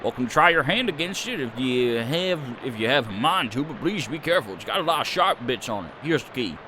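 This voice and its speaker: A man's voice: mid-range voice, lively intonation, fairly quick, background noise, pitched below the performer's own voice, close to an everyday voice. A man voicing an aging, homely male farmer who talks in a rambling country drawl. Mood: folksy.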